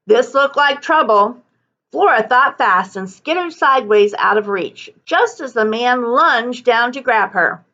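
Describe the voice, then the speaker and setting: Bright, slow-reading woman storyteller. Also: fairly high pitch, lively intonation, dull audio. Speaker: teacher reading a book aloud. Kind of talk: expressive children's story narration.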